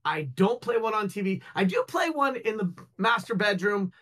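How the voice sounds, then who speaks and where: A man's voice: high voice, lively intonation, moderate pace, a bit bright. A man co-hosting a casual news talk show, joking and rambling. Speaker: co-host. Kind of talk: casual panel chat.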